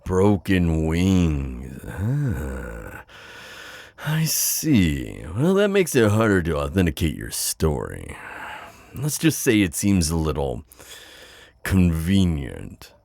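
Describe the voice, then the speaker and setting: A man playing a suave, skeptical demon buyer in an ASMR audio roleplay, with a deep voice and a sardonic, halting manner. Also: huge pitch swings, vocal fry, a little mellow, no echo, studio quality, heavily acted. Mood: skeptical, probing. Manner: flirty, sardonic, hesitant pauses and drawn-out words.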